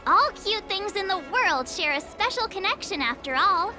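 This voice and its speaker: Very high-pitched, chatty sweet girl. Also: big pitch swings, normal speed, faint music behind. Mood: friendly, curious. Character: sweet, chatty, cheerful young girl who loves cute animals. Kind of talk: video game character voice acting.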